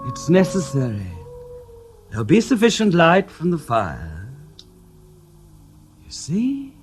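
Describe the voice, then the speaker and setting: An actor playing a soft-spoken male clairvoyant who talks haltingly and stammers in a radio drama. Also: a little high, wildly swooping pitch, breathy edge, faint music behind, exaggerated voice. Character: hesitant male stage clairvoyant.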